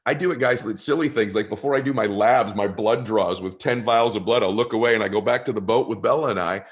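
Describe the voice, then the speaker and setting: A middle-aged man with a deep voice hosting a podcast interview, talking quickly and emphatically and often turning to the listeners. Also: husky. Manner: fast-talking, emphatic, addresses listeners as "guys". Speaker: podcast host. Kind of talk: conversational interview.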